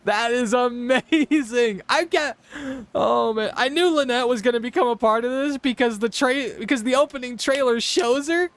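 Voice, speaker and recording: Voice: mid-range voice, moderate pace, few pauses, no echo. A game streamer giving casual live commentary, reactive and joking, with some swearing. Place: game stream, game music behind.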